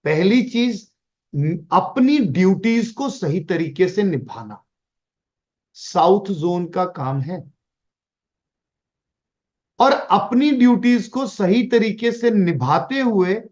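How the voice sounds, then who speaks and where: A man's voice: sits high, sing-song, takes it slowly, pauses often, highs cut off. A man lecturing in an online class, mixing English phrases into Hindi and asking rhetorical questions. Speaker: Vastu teacher.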